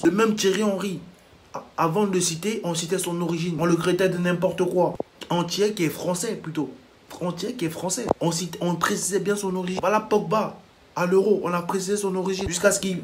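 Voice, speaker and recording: A man from Africa rants straight to camera in a heated reaction vlog. His voice sits a little high for a man. He lectures with indignation and throws in blunt insults, over a faint hiss in the background. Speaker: vlogger.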